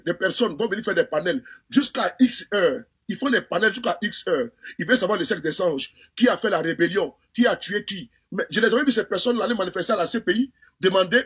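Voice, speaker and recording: Brief: high-pitched Ivorian man, fast husky delivery, fervent political talk with many restarts. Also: fairly bright tone. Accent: Ivorian French. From Côte d'Ivoire. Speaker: political commentator.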